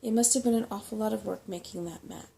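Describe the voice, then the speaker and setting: A woman voicing a polite, curious young boy who keeps the peace, in a soft-spoken storybook reading. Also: mid-range voice, fast talker, gravelly, close to an everyday voice. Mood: conciliatory.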